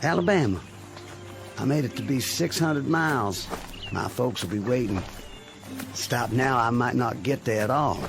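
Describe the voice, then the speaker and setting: A gravelly young Southern soldier. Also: male voice, mid-range voice, a little slow, pauses often. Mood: determined. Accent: American South. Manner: deferential, plain-spoken country talk. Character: polite, wounded young Confederate soldier, homesick. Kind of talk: radio drama acting. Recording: music behind the voice.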